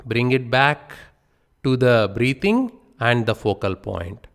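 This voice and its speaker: A man guiding a beginner meditation session in a calm, unhurried way. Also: medium pitch. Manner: slow, calm, unhurried guidance with pauses. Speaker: meditation instructor. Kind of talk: guided meditation instruction.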